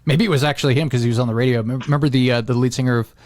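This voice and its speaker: A man's voice: medium pitch, fairly even intonation, rapid-fire, darkish tone, dry recording. American guy, middle-aged, rambly podcast host chat, says like a lot, close dry sound. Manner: rambling, peppered with "like", acts out quotes. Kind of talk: casual chat.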